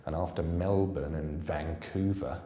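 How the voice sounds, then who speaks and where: A man's voice: low voice, steady tone, measured pace, dark tone. A man voicing a smug, deferential moustached henchman in a story reading. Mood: worried. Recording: roomy hall echo.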